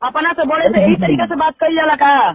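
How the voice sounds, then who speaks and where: A woman's voice: sits high, animated, fairly quick, a loud crowd. A woman with a Bhojpuri accent arguing back on a recorded phone call. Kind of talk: argumentative phone conversation. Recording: tinny narrow-band recorded phone call.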